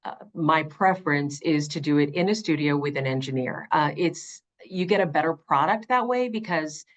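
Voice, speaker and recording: A woman's voice: deep voice, moderate pace. A middle-aged woman giving conversational, emphatic interview answers as an audiobook narrator.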